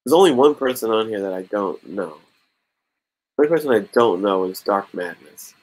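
Male host, 30s, lowish voice, loose chatty delivery, home webcam setup. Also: lively intonation, normal speed, darkish tone, poor audio. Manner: casual, chatty, counting aloud with laughs. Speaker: YouTube comic channel host. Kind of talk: casual livestream raffle chat.

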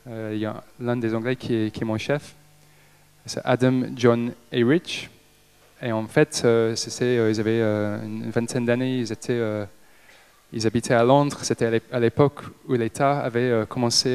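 Thirties English man, native English speaker, halting stage talk into a handheld mic; smooth tone, mid pitch. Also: darkish tone. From Liverpool, England. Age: 30s. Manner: halting, frequent hesitations and restarts. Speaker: company director giving a talk. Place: stage in an event hall with a seated audience. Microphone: handheld microphone.

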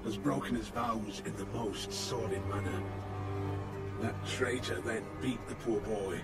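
Stern old male commander, fantasy game, slow formal oratory, fairly high-set voice, music behind. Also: steady tone. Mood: grave. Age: older. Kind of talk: dramatic fantasy game acting.

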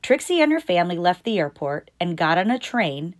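A woman reading a picture book aloud as a storytime host, deliberate and expressive for young children. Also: lowish voice. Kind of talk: scripted picture-book narration read aloud.

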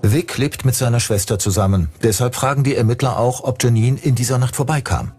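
A man's voice: medium pitch, a little fast, darkish tone, slightly rough. A man giving scripted true-crime documentary narration as the narrator. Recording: music bed under the voice.

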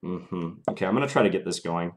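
A man's voice: low-pitched, expressive, fast, background noise. A young man with a mild Minnesota accent, streaming live and thinking aloud through his chess moves in a small room. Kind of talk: live chess commentary while playing. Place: small indoor room at home.

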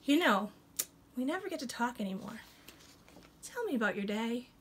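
A woman's voice: on the high side, sing-song, moderate pace. A woman voicing a stubborn little pigeon from a picture book, pleading against bedtime in a whiny, wheedling, dramatic way. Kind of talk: animated picture-book read-aloud. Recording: some room noise behind.

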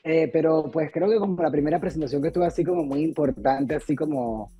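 A man's voice: on the high side, brisk, warm tone, husky. A man from Venezuela, an actor, chatting casually on a video call about his stage roles, full of fillers and restarts. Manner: rambling, many fillers and restarts. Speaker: actor guest. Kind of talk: casual interview chat. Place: home room, video call. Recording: dull lo-fi video call audio with faint music.

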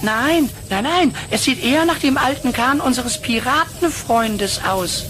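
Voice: high voice, animated, measured pace, over music. An actor playing a gullible, grumbling male hunter sidekick in a children's cartoon audio play, whiny and hesitant in delivery. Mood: flustered. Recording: noisy old cassette recording.

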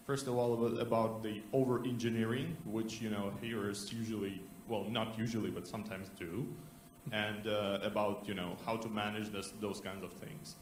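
A man in a conference audience asking the speaker a question in a rambling, halting way. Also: fairly low pitch, level tone. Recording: echoey hall with faint noise.

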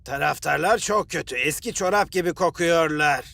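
A man's voice: fairly high pitch, normal speed, vocal fry, a bit bright, dry recording. A man voicing a sour, scornful football player in a cartoon, sneering and complaining. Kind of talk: animated cartoon dub acting. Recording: music behind the voice.

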